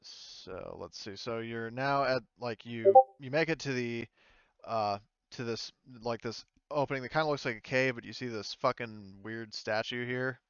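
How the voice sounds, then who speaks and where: A man as game master, narrating and chatting casually in a halting, loosely profane way. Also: mid-range voice, a bit bright, clean tone, no room sound, muffled recording.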